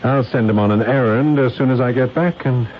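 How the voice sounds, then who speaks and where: An actor playing a cold, determined scientist husband who methodically plots murder in a radio drama. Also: male voice, mid-range voice, brisk, lightly acted. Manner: methodical, matter-of-fact. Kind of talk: 1970s radio drama acting. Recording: dull, hissy old radio recording.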